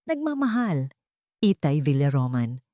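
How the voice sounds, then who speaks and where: Filipina narrator doing a loving solemn dad saying farewell, audiobook drama, low dry voice. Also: female voice, big pitch swings, unhurried, dark tone, dry recording. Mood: tender, solemn. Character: loving dying father writing a solemn farewell letter.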